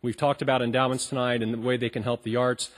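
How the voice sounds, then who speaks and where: A man's voice: mid-range voice, level tone, quick pace. A man moderating a public panel and introducing a speaker, talking loosely with many ums and restarts. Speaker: event moderator. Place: college auditorium stage.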